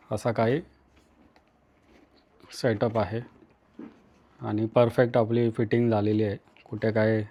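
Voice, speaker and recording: A man's voice: medium pitch, dark tone, dull audio. A man with an Indian accent from Maharashtra gives a casual hands-on tutorial, talking to viewers in short, repeated instructional phrases. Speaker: vlogger, tutorial host.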